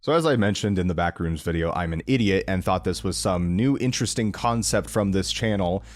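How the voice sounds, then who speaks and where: A man's voice: deep voice, a little fast. A young man giving a casual, rambling review to camera in an informal, enthusiastic, self-deprecating way. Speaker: reaction video creator.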